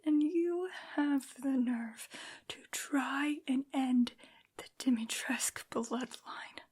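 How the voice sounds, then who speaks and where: A woman performs a haughty vampire noblewoman in an ASMR roleplay. Her high voice is very breathy, mostly whispered, and she draws out each imperious, menacing phrase extremely slowly. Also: a little mellow, exaggerated voice. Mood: wounded, scornful. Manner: imperious, slow, drawn-out scolding. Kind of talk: soft-spoken ASMR roleplay.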